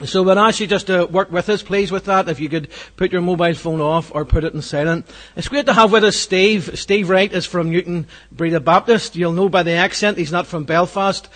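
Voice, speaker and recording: Church host, man, higher voice, earnest with fillers, leading welcome and prayer. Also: steady tone, fairly quick, a bit bright. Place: church hall.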